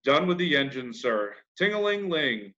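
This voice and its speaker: A man's voice: fairly high pitch, very animated, moderate pace, fairly bright tone, muffled recording. A man reading a children's classic, voicing a boastful, folksy young boy who barks orders and teases. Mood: bossy, playful. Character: boastful young boy playing a steamboat captain.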